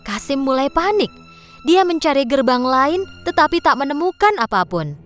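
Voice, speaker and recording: Female narrator for children's stories, high and lively, faint music underneath. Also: moderate pace. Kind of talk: scripted children's storybook narration. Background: background music.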